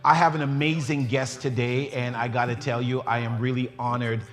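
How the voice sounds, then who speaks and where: A man's voice: medium pitch, little pitch movement, fairly quick, big-room sound. A middle-aged man hosting a faith talk show, joking and laughing as he welcomes his guest. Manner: jovial, laughing, warm teasing of his guest.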